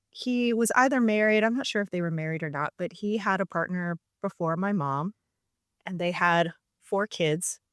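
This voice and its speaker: A woman with a fairly low voice talks as a guest, candid and self-deprecating, laughing through her stories. The sound is dry and close, with no room echo. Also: clean tone. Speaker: podcast guest, actor. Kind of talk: casual interview conversation.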